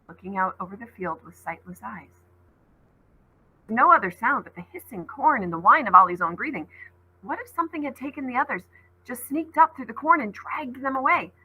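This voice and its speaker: Bright, husky woman teacher reading aloud. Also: moderate pace, low-quality recording. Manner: expressive read-aloud, voices rising high on called names.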